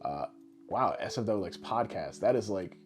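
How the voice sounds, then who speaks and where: A man's voice: on the low side, lively intonation, moderate pace, faint music behind. A man co-hosting a podcast with casual, jokey, rambling showman patter. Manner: boisterous, rambling, tongue-in-cheek showman patter. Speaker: podcast co-host.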